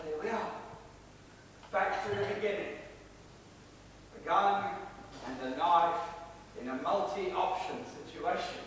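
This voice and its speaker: An actor playing an embittered middle-aged theatrical husband, sardonic and menacing, in a deliberate stage style. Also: male voice, fairly bright tone, full character voice. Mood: commanding, menacing. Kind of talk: theatrical stage drama acting. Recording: echoey hall, distant mic, faint rumbling noise.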